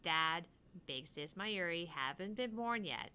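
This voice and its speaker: A woman's voice: fairly low pitch, animated, unhurried, sharp tone, clear, resonant tone. A woman reading a stern young female soldier in a visual novel, blunt and commanding. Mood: commanding, grave.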